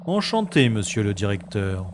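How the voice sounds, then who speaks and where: An actor playing a self-assured secret agent in an audio drama, speaking with dry, formal irony. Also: male voice, on the low side, very animated, normal speed, background noise. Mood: smooth, evasive. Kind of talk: French audio drama acting. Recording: echoey, music behind.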